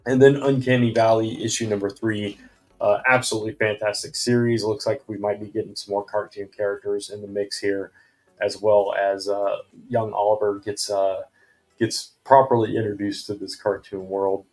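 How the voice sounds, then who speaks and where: A man hosting a casual comic book review vlog, rambling with many ums and uhs, his voice going hoarse and his mouth dry. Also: lowish voice, level tone, moderate pace, darkish tone, soft background music, rough recording. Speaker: comic book channel host.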